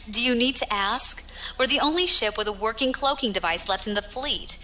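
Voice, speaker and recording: A woman's voice: fairly high pitch, normal speed, pushed and bright. A woman playing a tough, dryly humorous space pirate who talks informally in a science fiction audio drama. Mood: grim, wry. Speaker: voice actor.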